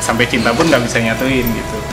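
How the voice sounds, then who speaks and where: A man's voice: medium pitch, steady tone, quick pace. A man chats casually as an interviewed musician, explaining in slang and repeating his words as he goes. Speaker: band member and songwriter being interviewed. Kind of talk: casual chat. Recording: roomy echo, loud background music.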